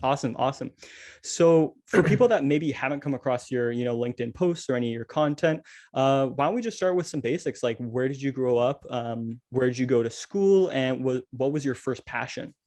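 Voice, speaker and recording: A man's voice: mid-range voice, brisk, darkish tone, no room sound, clean studio sound. A man hosting a business podcast, asking long rambling questions with repeated words and fillers. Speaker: podcast host.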